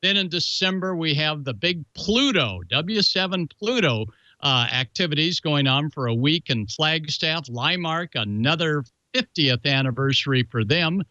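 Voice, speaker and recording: A man's voice: on the high side, lively intonation, a little slow, bright, forceful tone, no echo, muffled recording. A man hosting a show and presenting amateur radio club news in a folksy, jokey announcer's way. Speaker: show host.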